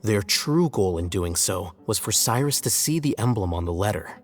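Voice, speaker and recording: A man voicing a composed young scholar in a video game, measured, articulate and detached. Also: on the low side, expressive, darkish tone, soft background music, close to an everyday voice. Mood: analytical. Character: composed, matter-of-fact young male scholar, coolly analytical. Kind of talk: English video game dub acting.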